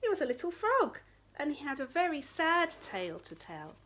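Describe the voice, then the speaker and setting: A woman reads a children's story expressively. Her voice sits fairly high and moves up and down with lots of animation. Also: huge pitch swings, moderate pace, a bit bright, a little hiss or hum.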